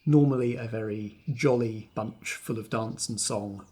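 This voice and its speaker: A man's voice: lowish voice, unhurried, dark tone, slightly rough. A man acting as game master, narrating a tabletop game with descriptive, storytelling phrasing.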